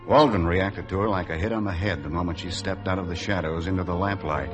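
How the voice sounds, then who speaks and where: A man's voice: sits low, steady tone, fast, lightly acted. An actor playing an easygoing, reflective man who narrates a 1950s radio drama in a wry, conversational way. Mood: wry reminiscence. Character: Mac, easygoing, reflective man recalling a summer acquaintance. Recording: 1950s radio broadcast with music underneath.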